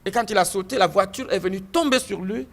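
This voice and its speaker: A man giving a testimony in an interview, telling his story with animated delivery, stammered restarts and repeated phrases. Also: high voice, quick pace, vocal fry. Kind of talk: recounting a personal story in an interview.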